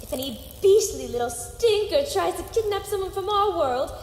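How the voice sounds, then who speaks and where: Genteel female scientist, chiding and scatterbrained, very lively intonation, hall reverb, comic audio drama. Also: very high voice, normal speed. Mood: cheerful, instructive. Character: bright, scatterbrained, bossy lady scientist. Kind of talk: sci-fi comedy audio drama acting. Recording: echoey hall, faint background noise.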